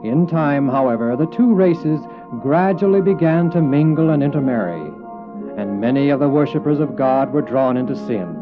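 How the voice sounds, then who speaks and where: A man's voice: mid-range voice, animated, normal speed. A man narrating a Bible drama in a solemn, formal, scripted style. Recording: dull old cassette, music underneath.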